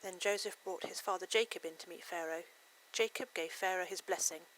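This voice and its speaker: A woman serves as narrator for a scripted Bible story. Her voice sits a little low, and she reads aloud in clear, simple phrases. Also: brisk, fairly bright tone, low-quality recording.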